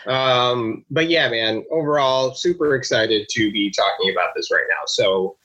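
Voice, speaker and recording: A man's voice: mid-range voice, measured pace, sharp tone, muffled recording. A man co-hosting a podcast, rambling a little and chatting casually with his friend. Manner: rambling, self-deprecating. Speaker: podcast co-host. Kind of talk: casual banter.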